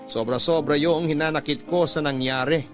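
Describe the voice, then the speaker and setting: A man with a fairly high voice reads a heartfelt letter as a radio drama narrator. He tells it in the first person as a personal confession, with music clearly playing behind him. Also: fairly even intonation, moderate pace. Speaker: narrator reading a listener's letter. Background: background music.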